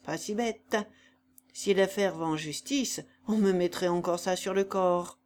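A woman voicing a sly, callous male vagabond who speaks with a flat, chillingly indifferent tone. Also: on the low side, unhurried, slight background noise, lightly acted.

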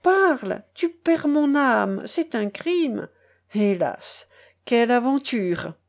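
A woman's voice: fairly high pitch, very animated, takes it slowly, exaggerated voice. A woman voicing a frightened, pious young peasant man in an audiobook, pleading and lamenting in despair. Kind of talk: dramatic audiobook character voice.

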